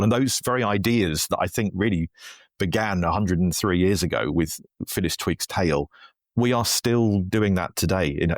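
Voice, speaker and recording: Enthusiastic male interviewee, low-pitched, conversational, recorded dry. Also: dry recording. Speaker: podcast guest, audio drama writer.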